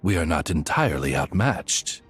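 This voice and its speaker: A man voicing a calm, courtly raven familiar in an anime game, speaking formally and reassuringly. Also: sits low, moderate pace, husky, exaggerated voice. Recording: music behind the voice.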